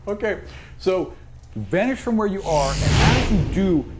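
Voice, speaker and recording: A man's voice: high voice, animated, a little slow, heavy background noise. A man improvising narration as game master in a tabletop fantasy game.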